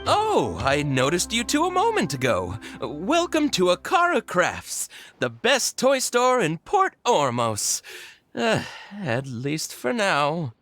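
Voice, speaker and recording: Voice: mid-range voice, huge pitch swings, slow, a bit bright, soft background music, exaggerated voice. An actor playing a nervous, fretful shopkeeper who hesitates and stammers, in a video game. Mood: nervous, welcoming. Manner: hesitant, stammering with many uhs. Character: nervous, hesitant, fretful toy shop keeper.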